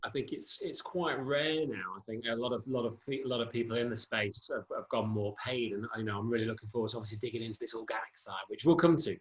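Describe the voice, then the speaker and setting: A man's voice: medium pitch, fast talker, hardly any pauses, rough recording. Rambling male podcast host. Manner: rambling, hesitant questions with fillers. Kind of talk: casual interview questions.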